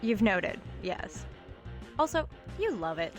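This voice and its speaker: A steady young college student talks in a dry, earnest way in a radio drama. Her voice sits at a middle pitch, and music plays clearly behind her. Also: slow. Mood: wry, teasing. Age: young adult. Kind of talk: audio drama acting.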